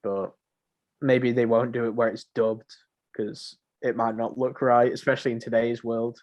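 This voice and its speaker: A man's voice: medium pitch, flat delivery, normal speed, a little mellow. A man co-hosting a podcast, chatting casually and informally, with opinions of his own. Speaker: podcast co-host. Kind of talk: casual podcast chat about films and games.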